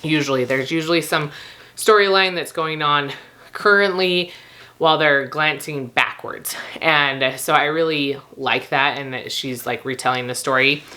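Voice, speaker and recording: A woman with a slightly rough, recovering voice, rambling casually and informally to camera as she reviews books. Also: low-pitched, a little slow, bright, forceful tone. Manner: informal, laughs often while talking. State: slightly rough, gravelly voice after losing it. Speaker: book review vlogger.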